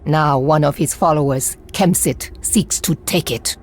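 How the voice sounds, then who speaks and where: An actress playing a proud, resolute warrior leader in a video game, speaking with solemn command. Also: female voice, low voice, a little slow. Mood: solemn, explanatory. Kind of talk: video game cutscene acting.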